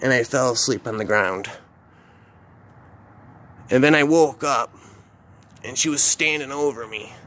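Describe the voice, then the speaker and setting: A man's voice: mid-range voice, unhurried, long pauses, fairly bright tone, a little hiss or hum. A man telling an informal first-person horror story in a rambling, repetitive, slangy, sweary way.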